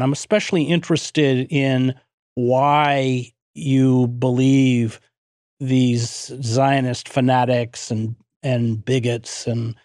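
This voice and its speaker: A man's voice: medium pitch, a little mellow, dry recording, studio quality. A man interviewing a guest, asking long, hesitant questions.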